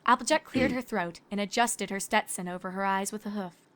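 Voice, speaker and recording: A woman's voice: mid-range voice, a little fast, a bit bright. A woman reading scripted story narration. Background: music.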